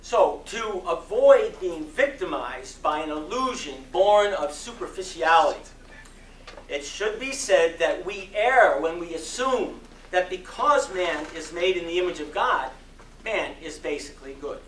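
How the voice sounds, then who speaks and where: A man performing a dramatic sermon reading in an oratorical style, with solemn, emphatic phrasing and long deliberate pauses. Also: high voice, animated, fairly bright tone. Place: community center hall before an audience. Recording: roomy echo, distant mic, faint noise.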